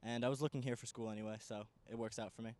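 A man's voice: medium pitch, fairly even intonation, very fast, darkish tone. Hesitant young man on a field. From New Jersey. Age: young adult. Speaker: college soccer recruit. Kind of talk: casual on-camera interview. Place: outdoor soccer field. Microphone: handheld mic.

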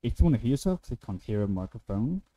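A man giving a casual, unscripted software tutorial in an informal, offhand way as the presenter. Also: mid-range voice, sing-song, quick pace, low-quality recording.

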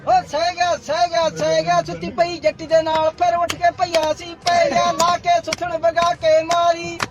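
A man with a Punjabi accent chanting bawdy folk verses in a drawn-out delivery, repeating his opening words. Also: very high voice, completely flat delivery, loud music behind the voice. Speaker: folk boliyan singer.

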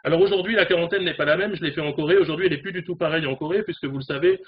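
A man in his forties reporting as a correspondent on a remote news discussion, animated, speaking from a room at home over a webcam. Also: on the high side. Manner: fast, animated, talks with hands. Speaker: correspondent in South Korea. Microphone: video call webcam. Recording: dull video call audio, faint noise.

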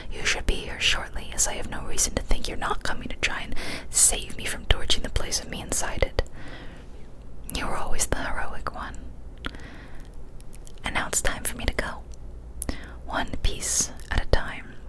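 A young woman whispers throughout, soft and breathy. She reads a spooky tale as ASMR, unhurried, with careful pauses between phrases. Her mouth is close to a studio condenser microphone. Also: rough recording. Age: young adult. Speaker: ASMR storyteller. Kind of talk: whispered ASMR horror story reading. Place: small decorated indoor room. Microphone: large studio condenser mic, up close.

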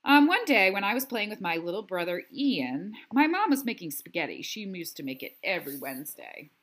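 A woman's voice: medium pitch, big pitch swings, fairly quick, a bit bright. A woman voicing a friendly but wounded young schoolgirl in a storybook, earnest and confiding.

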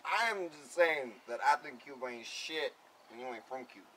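A man's voice: medium pitch, expressive, normal speed, fairly bright tone, recorded from a distance, a little hiss or hum, natural voice, poor audio. A young man playing Kilo, a brash, profane gangster who talks about himself in the third person, in a garage at night. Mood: mocking.

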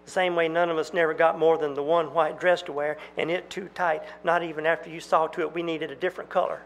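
A man voicing twin country girls, speaking quietly and accusingly. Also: on the high side, unhurried, vocal fry, a little hiss or hum. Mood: reproachful. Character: eerie country girls, twin sisters, calm and reproachful.

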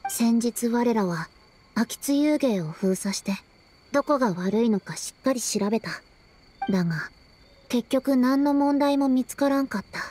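Dignified, high-voiced youkai storyteller. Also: female voice, dark tone. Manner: archaic, formal storytelling phrasing. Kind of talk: video game cutscene storytelling.

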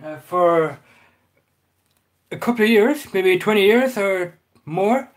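Guy with a bit of an irish accent, pretty high voice, chatty cooking vlog in his kitchen, phone mic. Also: lively intonation, takes it slowly. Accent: slight Irish. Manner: chatty, addresses viewers as guys, many fillers. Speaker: cooking vlogger. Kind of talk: casual live cooking talk. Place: small home kitchen.

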